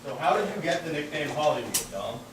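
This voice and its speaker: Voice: medium pitch, sing-song, a little fast. A host presenting and narrating a live puppet show in a scripted, storytelling way. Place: indoor library event, far microphone. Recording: very echoey, distant, heavily noisy live recording.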